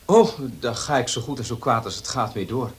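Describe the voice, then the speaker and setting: An actor playing a modest working student, polite and matter-of-fact, in a Dutch radio play. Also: male voice, medium pitch, expressive, a little fast, lightly acted. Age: young adult.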